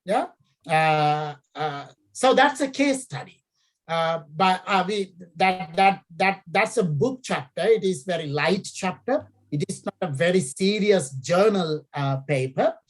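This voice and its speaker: A woman's voice: on the low side, lively intonation, a little slow. A middle-aged woman from Sri Lanka, an academic talking through her research in a hesitant way, with many fillers and repeated key phrases. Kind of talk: conversational interview.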